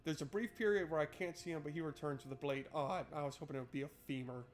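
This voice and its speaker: A man's voice: on the high side, steady tone, fast talker. A man streaming a game, switching between reading narration aloud and casual, irreverent, sweary joking commentary.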